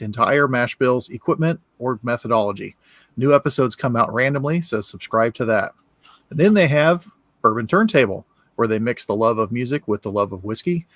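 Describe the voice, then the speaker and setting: A man's voice: mid-range voice, a bit bright, no echo, clean studio sound. A man co-hosting a whiskey show, reading announcements in a friendly, rambling way with many filler words. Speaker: co-host. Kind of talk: casual podcast announcements.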